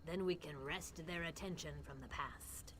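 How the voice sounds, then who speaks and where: A woman playing a grave mystic who speaks for the dead, solemn, measured and formal, in a fantasy game. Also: deep voice, close to an everyday voice. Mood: calm, instructive. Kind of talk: scripted fantasy game dialogue.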